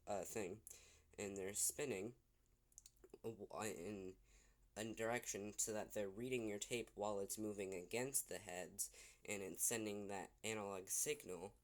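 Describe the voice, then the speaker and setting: A man giving an informal explanation as a presenter, speaking haltingly in short broken phrases with pauses. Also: lowish voice. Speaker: video presenter explaining technology. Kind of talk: unscripted explainer, demonstrating to camera.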